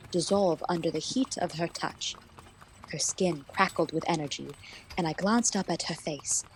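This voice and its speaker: A woman's voice: lowish voice, a little hiss or hum, lightly acted, low-quality recording. A woman playing a devoted detective's assistant, narrating a radio play in an earnest, literary way.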